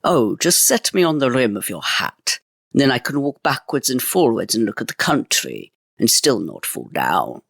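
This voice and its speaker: Guy doing a little mischievous storybook boy, pitched up, lively, scratchy, dry sound. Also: normal speed, vocal fry, no echo, heavily acted. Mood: cheeky. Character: tiny cheeky boy, bold and mischievous.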